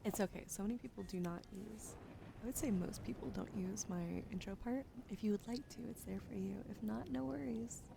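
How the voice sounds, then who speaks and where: Young woman, soft ASMR talker, husky fry in the voice, half-whispering close to the mic, hissy home recording. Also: medium pitch, brisk. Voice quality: gravelly fry, often whispered. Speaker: streamer doing tarot readings. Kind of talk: casual ASMR chat, soft-spoken.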